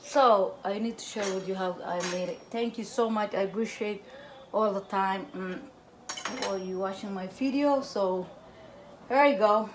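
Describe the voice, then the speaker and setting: A Somali woman with a Somali accent, hosting her cooking channel from her home kitchen in halting English, recorded by a camera a little way off. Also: medium pitch, noisy background. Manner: halting broken English, repeats words while explaining. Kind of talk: casual cooking demonstration.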